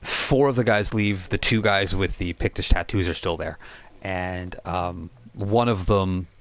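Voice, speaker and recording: A man with a low voice is running a tabletop role-playing game. He improvises the scene as game master, halting as he builds the description.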